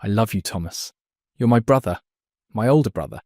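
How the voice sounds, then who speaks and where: A man's voice: lowish voice, animated, a little fast, darkish tone, no room sound, clean studio sound. A man acting in an audio drama as a proud, stiffly formal brother. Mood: stiff, earnest.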